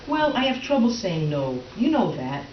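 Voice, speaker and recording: A woman playing a prim, quietly resentful middle-aged wife in a stage comedy reading, hesitant and mildly sarcastic. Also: medium pitch, very animated, moderate pace, lightly acted. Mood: dryly resentful. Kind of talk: readers theater stage comedy. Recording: roomy, distant, dull, faintly noisy stage recording.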